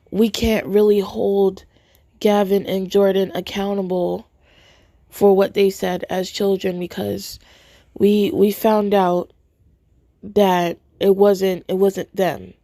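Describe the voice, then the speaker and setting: A woman hosting a podcast in casual commentary, hoarse from a cold and thinking aloud haltingly, close to a phone mic. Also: mid-range voice, warm tone, dry recording. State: hoarse from a cold, voice nearly gone. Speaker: podcast host. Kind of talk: casual spoken commentary to listeners. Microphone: phone held close to mouth.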